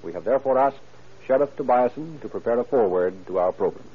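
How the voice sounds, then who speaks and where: A man's voice: medium pitch, expressive, fast, slightly rough. A man announcing and narrating a scripted radio show in formal, earnest 1930s style. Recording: muffled 1930s radio broadcast.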